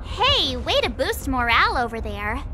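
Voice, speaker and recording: A woman's voice: sits high, very animated, normal speed, sharp tone, full character voice. A woman voicing a perky, chatty teenage girl adventurer in a video game. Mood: teasing, upbeat. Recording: music behind the voice.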